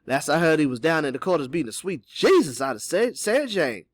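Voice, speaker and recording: A man's voice: a little high, sing-song, a little fast, dry recording, clean studio sound, voice pushed up. A man voicing an old enslaved Southern man in an audiobook, sly and hopeful, giving urgent, folksy counsel. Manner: whispering Southern dialect speech, urgent and folksy.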